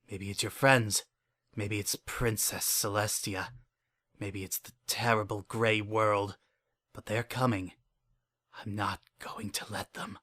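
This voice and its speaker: A man's voice: on the low side, fairly bright tone. A man playing an unhinged, paranoid male narrator in a horror fan fiction, speaking earnestly in childlike phrases. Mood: paranoid, hushed. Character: unhinged, obsessive, paranoid male narrator clinging to his pet. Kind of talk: first-person dramatic horror fan fiction reading.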